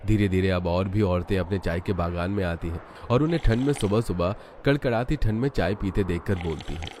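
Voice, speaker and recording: Male narrator, low voice, scripted storybook delivery, faint noise behind. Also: a little fast, darkish tone.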